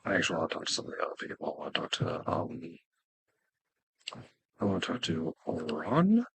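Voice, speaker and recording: Male streamer, casual and halting: lowish, heavily husky voice, swinging intonation. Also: huge pitch swings. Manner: rambling, halting. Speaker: game streamer. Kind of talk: casual let's play commentary, sometimes reading game lines aloud.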